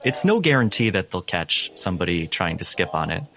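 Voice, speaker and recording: A man narrating scenes as a tabletop game master in a deliberate, ominous manner. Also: low-pitched, big pitch swings, a bit bright.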